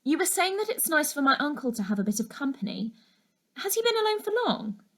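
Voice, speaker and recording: Woman playing a earnest, lively eleven-year-old schoolgirl. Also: sits high, fairly quick, pitched above the performer's own voice. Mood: curious. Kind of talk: children's storybook character voice.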